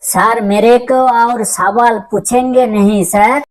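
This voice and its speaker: A woman voicing a dim but earnest schoolboy in a comedy cartoon, answering in a deliberate, reciting manner. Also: fairly high pitch, fairly even intonation, slight background noise, rough recording. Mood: naive, deferential.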